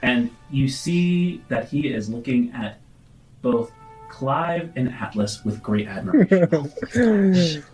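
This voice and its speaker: Man, game master, medium pitch, improvised dramatic narration, descriptive, tabletop role-play, quiet music bed. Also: lively intonation, takes it slowly, pauses often, low-quality recording.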